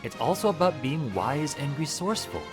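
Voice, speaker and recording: A man's voice: fairly high pitch, big pitch swings, a little fast, faint music behind. A man voicing earnest, thoughtful teenage turtle ninjas in an encouraging manner. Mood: earnest, curious.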